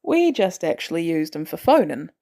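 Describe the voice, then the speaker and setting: A woman voicing a weary, guilt-ridden male former assassin in an audio drama, terse and hesitant, with dry, protective gruffness. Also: on the low side, big pitch swings, moderate pace, warm tone. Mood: wry.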